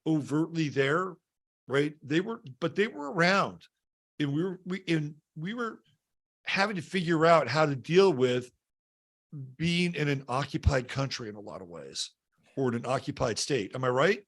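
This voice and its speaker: A man's voice: fairly high pitch, animated, moderate pace, a bit bright. A man hosting a podcast, telling personal stories conversationally and checking in with short tag questions. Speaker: podcast host.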